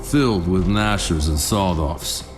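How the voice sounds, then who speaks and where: A man playing a proud former enemy soldier in a video game, speaking in a dry, measured, defiant manner. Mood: grim reminiscence. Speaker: game character actor. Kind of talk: dramatic game dialogue and reflective narration. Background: loud music, vehicle rumble.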